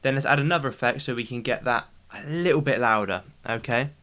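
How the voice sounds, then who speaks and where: A man with a medium-pitched voice presents a software tutorial. He speaks casually and instructively, step by step. He often tags his points with brief questions to keep the listener following. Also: brisk, slight background noise. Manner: instructional, checks in with short tag questions. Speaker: video editing tutorial host.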